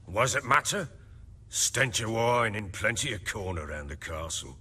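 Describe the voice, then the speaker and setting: An actor playing a burly, middle-aged, hard-drinking baron in a fantasy video game, with a rough voice and a blustering, defensive manner. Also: male voice, fairly low pitch, big pitch swings, normal speed, bright, forceful tone, full character voice. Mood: defensive, evasive. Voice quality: rough, husky.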